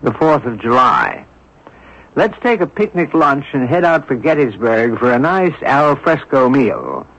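An actor playing an urbane, inquisitive amateur sleuth with a teasing manner in a radio mystery drama. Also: male voice, mid-range voice, very animated, measured pace, creaky, fairly bright tone, breathy edge, full character voice. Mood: teasing, friendly. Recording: dull lo-fi old radio recording.

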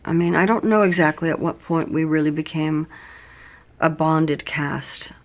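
A woman's voice: low voice, steady tone, measured pace. A woman speaking reflectively as an interviewee, recalling her career.

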